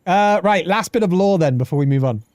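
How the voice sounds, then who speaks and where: A man's voice: high-pitched, fairly quick, no room sound, clean studio sound. A British man in his thirties chatting casually on a game live stream, swearing freely and reading chat aloud. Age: 30s. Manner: animated, swearing, reads chat aloud and laughs often.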